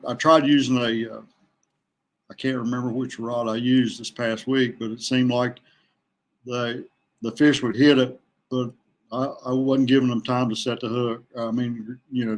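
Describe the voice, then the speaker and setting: A man in his sixties chatting casually as a podcast guest, folksy and halting, often repeating himself. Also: mid-range voice, level tone. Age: 60s. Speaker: guest, veteran kayak angler. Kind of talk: casual fishing chat.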